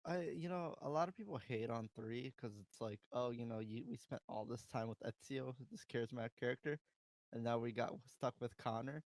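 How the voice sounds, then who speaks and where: Male podcast host, mid-pitched, slangy and profane, chatting casually in a dry recording. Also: a little fast, a little mellow, no room sound. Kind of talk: casual panel chat about games and films.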